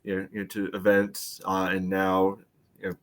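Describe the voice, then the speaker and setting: Low-voiced man chatting about sports. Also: completely flat delivery, normal speed. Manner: hesitant, filler-heavy phrasing with frequent "you know". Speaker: podcast panelist. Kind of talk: casual sports podcast discussion.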